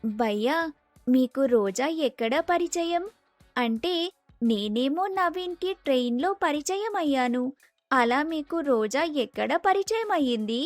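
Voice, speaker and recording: Woman acting high-voiced meddling North Indian daughter-in-law. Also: expressive, measured pace, dry recording, soft background music. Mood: sweet probing. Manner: mixes Hindi words into speech.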